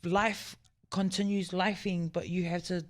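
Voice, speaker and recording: A woman's voice: lowish voice, flat delivery, a little slow. A young woman hosting a casual radio interview, chatty and affectionate, with lots of "like" and "you know.". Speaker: radio show host.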